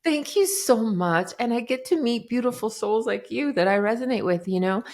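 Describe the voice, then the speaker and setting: A middle-aged woman, a life coach guest on a podcast, telling her story in a lively, dramatic way. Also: on the high side, normal speed. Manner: animated, dramatic retelling with acted-out quotes. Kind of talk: casual interview storytelling.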